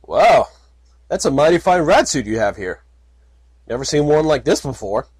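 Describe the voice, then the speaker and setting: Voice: mid-range voice, sing-song, normal speed, darkish tone, breathy edge, no echo. A storyteller voicing a big, skeptical male unicorn guard who talks in a dry, matter-of-fact way.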